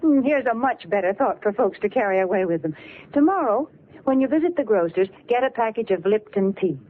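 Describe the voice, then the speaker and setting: A woman's voice: medium pitch, expressive, brisk. A woman delivering a scripted old-time radio commercial in a cheerful, persuasive manner. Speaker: show's commercial spokeswoman. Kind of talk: scripted 1940s radio advertising. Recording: faintly noisy lo-fi vintage radio recording.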